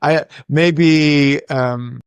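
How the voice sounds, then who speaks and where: A man's voice: on the high side, fairly even intonation, extremely slow, smooth, no room sound. A man in his forties, a guest entrepreneur, talking in a casual, reflective way with many fillers and restarts. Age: 40s. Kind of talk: casual interview talk.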